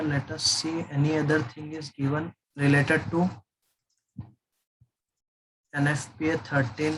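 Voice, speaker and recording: A man with an Indian accent giving an informal technical lecture as an instructor, explaining in a halting, repetitive way. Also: on the high side, a bit bright, a little hiss or hum, rough recording.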